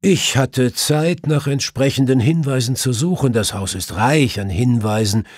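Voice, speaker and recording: A man's voice: mid-range voice, a little slow, darkish tone, breathy edge, no echo, studio quality, voice pushed up. A man voicing a self-assured, wry detective who gives confident commands in an audiobook.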